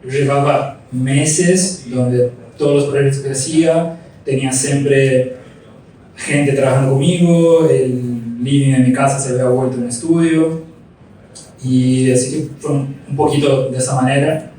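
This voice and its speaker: A man's voice: medium pitch, steady tone, mellow. Brazilian man with an Argentine-tinged accent, echoey. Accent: Brazilian Portuguese with Argentine Spanish. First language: Portuguese. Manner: reflective, unhurried storytelling. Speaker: studio founder, interview guest. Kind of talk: casual interview. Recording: echoey room, distant mic, faint hum.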